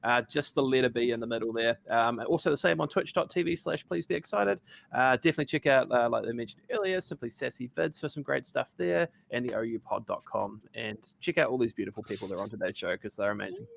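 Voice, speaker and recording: A man's voice: medium pitch, fast talker, vocal fry, dull audio. A man hosting a casual podcast chat, upbeat and laughing often, calling his guests buddy. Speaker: podcast host.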